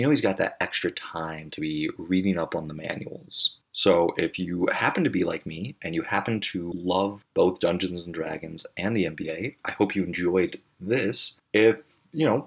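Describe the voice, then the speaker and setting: A man's voice: sits low, vocal fry, breathy edge. A man hosting a podcast, talking in a rambling, enthusiastic monologue. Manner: rambling, enthusiastic, many fillers. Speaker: podcast host.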